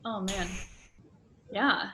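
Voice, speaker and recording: A woman's voice: mid-range voice, drawn-out words, a crowd in the background. A woman interviewer asking questions in a casual, exploratory, conversational way. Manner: informal, exploratory phrasing with rewordings. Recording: faint background ambient noise.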